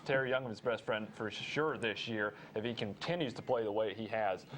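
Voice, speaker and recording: A young man with a lowish voice offers sports commentary as a show panelist. There is faint noise behind him. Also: normal speed, fairly bright tone.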